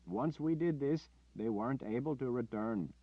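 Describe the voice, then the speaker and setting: An earnest older villager, voiced by a male narrator, lifted in pitch and steady as he explains. The audio is dry and somewhat dull. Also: slightly rough, no echo. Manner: measured, explaining.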